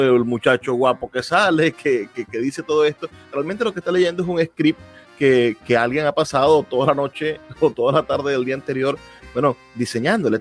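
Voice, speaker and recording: Casting: male Venezuelan radio interviewer, medium pitch, thoughtful halting phrasing, conversational questioning over music.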